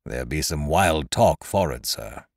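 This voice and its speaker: A man voicing a gruff old boatswain who talks in a rough rustic seafaring dialect. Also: very deep voice, lively intonation, measured pace, dry recording, studio quality, exaggerated voice. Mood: wary, confiding.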